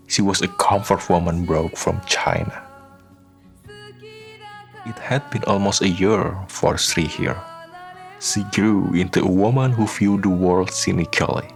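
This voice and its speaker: Deep, slow, solemn male narrator. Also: creaky. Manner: solemn, measured. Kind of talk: scripted radio drama narration. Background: faint music.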